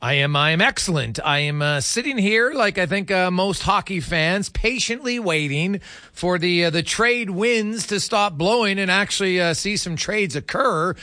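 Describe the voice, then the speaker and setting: A man's voice: high-pitched, lively intonation, normal speed, bright, forceful tone, no echo, studio quality. A man hosting a sports radio show, asking questions in a conversational interview style.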